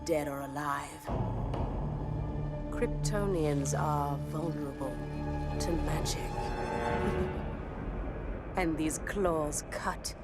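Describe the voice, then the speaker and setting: A woman's voice: low voice, very slow, long pauses, over loud music. An actress playing a bloodthirsty, gloating cheetah woman in a video game, with a purring, predatory menace. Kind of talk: villainous video game acting.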